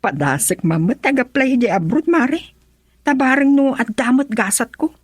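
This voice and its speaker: A woman acting an ambitious, brisk village friend who lends money in an Ilocano radio drama, speaking expressively and emphatically. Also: sits high, lively intonation, breathy edge, no room sound, studio quality. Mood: encouraging.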